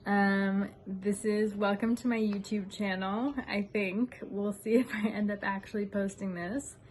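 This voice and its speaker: A woman's voice: medium pitch, unhurried, a little hiss or hum. American woman vlogging on a veranda. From United States. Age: 30s. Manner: frequent ums, relaxed and earnest. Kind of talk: casual chat to camera. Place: outdoor veranda of a house.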